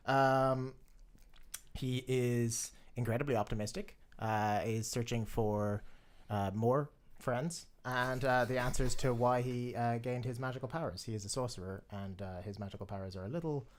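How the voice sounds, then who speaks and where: A man's voice: mid-range voice, fairly even intonation, moderate pace. Hesitant man at the game table. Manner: hesitant, many fillers. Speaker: player. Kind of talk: casual chat.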